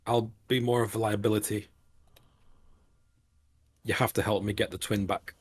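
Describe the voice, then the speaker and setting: A man's voice: on the low side, steady tone, normal speed, husky. Man as weakened woman, urgent plea, tabletop game NPC. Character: weakened woman seeking her lost power.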